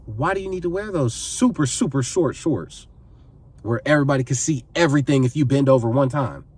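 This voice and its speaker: A man's voice: medium pitch, a little fast, darkish tone, slightly rough, distant mic. A man in his twenties giving earnest advice to camera, pleading and repeating phrases for emphasis. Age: 20s. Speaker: vlogger giving life advice.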